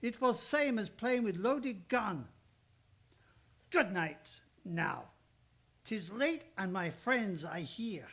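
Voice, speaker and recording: A man's voice: high-pitched, lively intonation, takes it slowly, a bit bright, husky, full character voice. An old male psychoanalyst, acted by a man for an old-time radio play. He is kindly but grumbling, takes his time over each phrase and drops small words in broken English. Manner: broken English with dropped articles.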